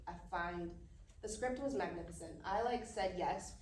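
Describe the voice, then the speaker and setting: A woman's voice: medium pitch, moderate pace, a little mellow. A woman speaking effusively as an actor giving casual feedback at a panel discussion. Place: live event room at a film festival. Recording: roomy, distant mic, faint noise.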